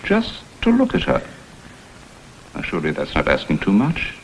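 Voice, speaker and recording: An actor playing a smooth, insinuating blackmailer who poses as a humble go-between, with florid, theatrical phrasing, in a vintage crime drama. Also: male voice, extremely low pitch, wildly swooping pitch, moderate pace, full character voice, low-quality recording. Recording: vintage TV soundtrack, faint noise.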